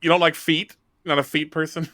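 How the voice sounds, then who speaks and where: A man's voice: on the high side, little pitch movement, a little fast, sharp tone, no echo, clean studio sound. A man in casual, jokey banter as a player on a tabletop roleplaying podcast. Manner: jokey, playful.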